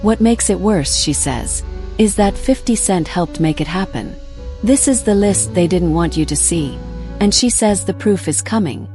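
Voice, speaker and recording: A woman's voice: medium pitch, expressive, normal speed, mellow. A woman reading scripted narration as the narrator of a video. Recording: music bed behind voice.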